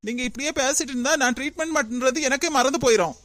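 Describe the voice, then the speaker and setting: A woman playing a brisk, high-voiced doctor. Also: a bit bright, a little hiss or hum. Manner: brisk, ordering.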